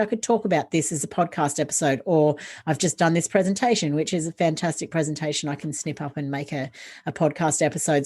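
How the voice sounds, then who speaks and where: A woman guest on a podcast interview, calmly explaining ideas and listing options in a steady, informative way. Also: sits low. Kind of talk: casual interview, explaining tips.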